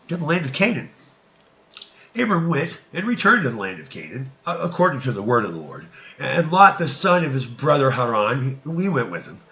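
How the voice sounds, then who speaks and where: A man reading archaic scripture narrative aloud in an emphatic, declamatory and sometimes halting way. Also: medium pitch, sharp tone, dull audio.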